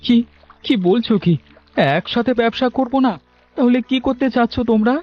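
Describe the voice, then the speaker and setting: A man acts out an earnest young farmer brother in a cartoon story. His voice is high for a man, and he questions and protests stubbornly. The audio sounds dull and lo-fi. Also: normal speed. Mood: shocked. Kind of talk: Bengali cartoon story dubbing.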